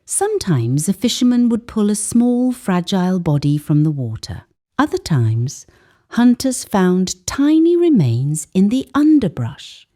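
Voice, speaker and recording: A woman's voice: mid-range voice, sing-song, unhurried, mellow. A woman narrating a folktale as a dramatic, scripted storyteller.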